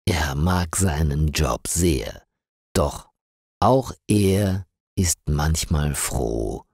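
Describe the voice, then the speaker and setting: Male storyteller for learners, deep voice, lively melody, slow and deliberate delivery. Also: creaky, dry recording, studio quality. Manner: slow, deliberate and expressive reading. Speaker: narrator. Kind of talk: scripted story narration for language learners.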